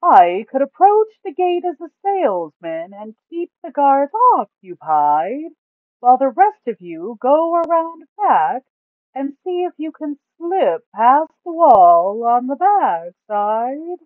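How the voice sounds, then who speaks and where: A woman voicing an adventurer salesman plotting a ruse in a story, loud and projecting with drawn-out words. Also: high-pitched, very animated, no room sound, phone-quality sound, pitched above the performer's own voice, exaggerated voice. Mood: scheming, upbeat.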